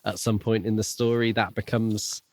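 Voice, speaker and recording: A man's voice: fairly low pitch, little pitch movement, normal speed, dry recording. A man co-hosting a podcast, musing aloud about a book in a casual, conversational way. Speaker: podcast co-host. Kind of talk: book discussion, casual analytical chat.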